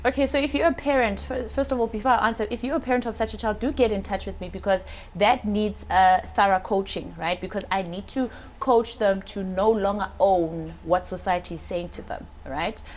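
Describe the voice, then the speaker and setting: A woman's voice: medium pitch, fairly quick, few pauses, a little hiss or hum. A middle-aged woman, a confidence coach appearing as a guest, speaking expressively and often tagging her points with "right?". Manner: expressive, frequent "right?" tags. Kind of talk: casual talk show guest.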